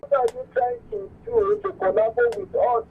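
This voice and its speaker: A man's voice: very high voice, fairly even intonation, brisk, gravelly, far from the mic. A Nigerian man calling in to a live show by phone, chatting casually. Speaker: phone-in caller. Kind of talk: casual call-in remarks. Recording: tinny phone line with noise.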